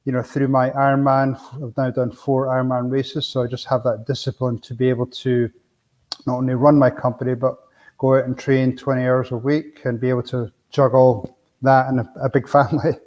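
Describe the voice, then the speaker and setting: A middle-aged Scottish man speaking in a plainspoken, casual way as a podcast guest. His voice sits at an ordinary middle pitch, and he tells his stories loosely, with plenty of "you know" along the way. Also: flat delivery, fairly quick, darkish tone. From Scotland. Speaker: business coach guest.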